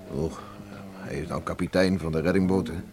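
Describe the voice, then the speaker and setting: A man acting a hearty, plain-spoken older farmer father with a halting way of talking in a radio play. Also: sits low, fairly even intonation, darkish tone, natural voice. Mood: proud, companionable. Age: middle-aged or older. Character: hearty farmer father, troubled by regret, welcoming. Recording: noisy old radio play recording.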